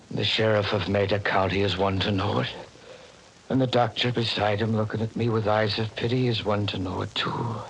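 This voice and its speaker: A man's voice: fairly low pitch, gravelly, fairly bright tone, poor audio. A man playing a haunted truck driver, telling his story in brooding first-person narration in an old radio horror drama. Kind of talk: 1940s radio acting. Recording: old radio broadcast with heavy hiss.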